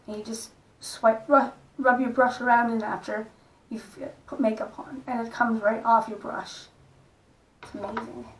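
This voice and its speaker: Soft-spoken croaky woman. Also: on the high side, level tone, slight background noise, rough recording. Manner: soft-spoken, unhurried, stops and restarts gently. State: hoarse, croaky voice. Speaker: makeup vlogger. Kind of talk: casual makeup tutorial talk.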